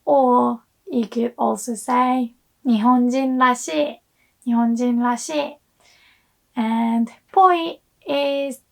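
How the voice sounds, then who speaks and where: Young woman language teacher, hoarse, high-voiced, relaxed and chatty. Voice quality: hoarse, gravelly. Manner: relaxed, chatty explaining with many fillers. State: hoarse from illness. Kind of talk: casual online language lesson.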